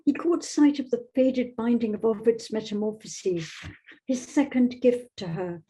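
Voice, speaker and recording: A woman's voice: on the high side, lively intonation, measured pace, warm tone, breathy edge, rough recording. A woman, speaking as a guest novelist in thoughtful literary talk and reading. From Scotland.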